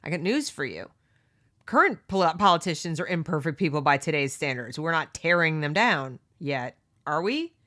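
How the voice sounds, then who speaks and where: A woman's voice: sing-song, moderate pace, bright, forceful tone. A middle-aged woman hosting a read-along book discussion, giving opinionated commentary and asking rhetorical questions. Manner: mocks others with high exaggerated voices.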